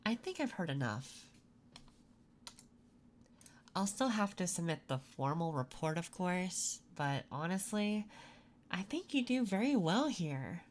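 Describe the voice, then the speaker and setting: A woman playing a curious, quietly flirtatious job interviewer in an ASMR roleplay, speaking softly and intimately. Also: on the low side, sing-song, a little hiss or hum. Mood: softly warm. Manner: soft-spoken, unhurried, intimate.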